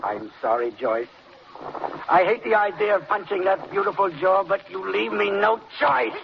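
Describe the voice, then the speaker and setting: High-voiced scheming husband, old radio. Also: male voice, animated, creaky. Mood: strained, regretful. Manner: deliberate, menacing, theatrical. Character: coldly scheming husband calmly plotting his wife's murder. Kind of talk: 1940s radio acting. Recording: muffled, noisy old radio recording.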